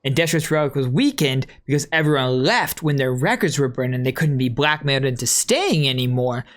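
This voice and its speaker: A game streamer giving casual, reactive playthrough commentary, musing aloud. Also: medium pitch.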